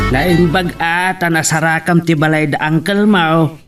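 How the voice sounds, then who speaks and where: A man playing a caring, admonishing older father in an audio drama, with an unhurried, fatherly manner and an Ilocano accent. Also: on the high side, fairly even intonation, fairly bright tone, distant mic, faint music behind. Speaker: voice actor.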